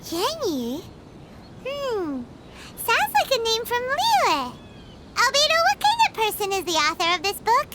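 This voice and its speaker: A woman voicing a tiny, excitable, childlike floating fairy companion in a video game, blunt and speaking of herself in the third person. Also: very high-pitched, a little slow, noisy background, heavily acted. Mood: curious. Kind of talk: animated video game character acting.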